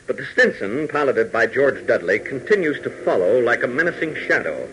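A man's voice: medium pitch, very animated, normal speed, noisy background. An American man narrating a 1940s radio adventure serial in a dramatic, emphatic announcer style.

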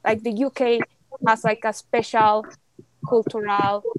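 A young woman from Mexico talks in a chatty, animated way, full of fillers and quick laughs. Her voice sits a little high. The recording is dry and close. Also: no echo. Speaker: podcast guest. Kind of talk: casual conversation.

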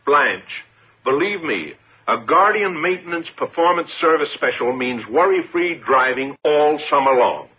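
An actor playing a grumpy, sleepy, exasperated husband in a 1950s radio comedy commercial, with a raspy voice. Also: male voice, fairly high pitch, lively intonation, unhurried. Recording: phone-like vintage radio recording.

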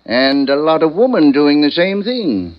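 A droll old sidekick in a radio noir, with a highish voice that swings dramatically up and down. His delivery is florid and theatrical. The sound is an old, muffled broadcast. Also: very animated, measured pace. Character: theatrical, wry, boozy older sidekick of a detective. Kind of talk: 1940s radio drama acting. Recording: muffled vintage 1940s radio recording.

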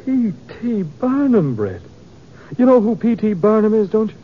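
A man's voice: sits high, sing-song, normal speed. A man playing a despairing, theatrical stage actor in a 1950s radio drama, declaiming his woes. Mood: awed, agitated. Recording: muffled, noisy 1950s radio recording.

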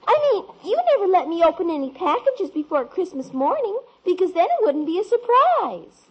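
An eager little girl in a vintage radio comedy. Her tiny, very high voice bounces with innocent confidence. The recording is muffled and old. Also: sing-song, moderate pace, exaggerated voice. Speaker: actress in radio sitcom. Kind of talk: old-time radio sitcom acting.

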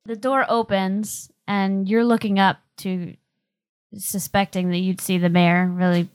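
A woman's voice: mid-range voice, level tone, a little slow. A woman narrating a tabletop role-playing game as game master.

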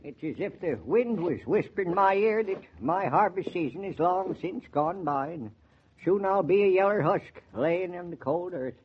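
A man's voice: sits high, lively intonation, normal speed, a little hiss or hum. An actor playing a cantankerous, morbid man in his eighties with a rural American accent, folksy and grumbling in 1940s radio drama style. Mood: gloomy. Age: 80s. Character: cantankerous, morbid old rustic man of eighty-six, stubborn neighbor. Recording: dull, lo-fi 1940s radio recording.

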